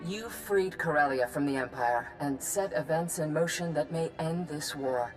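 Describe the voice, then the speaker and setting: A woman's voice: low-pitched, moderate pace, soft background music, lightly acted. A woman playing a dignified political leader in a video game, speaking formally and with measure. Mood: solemn gratitude. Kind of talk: video game cutscene acting.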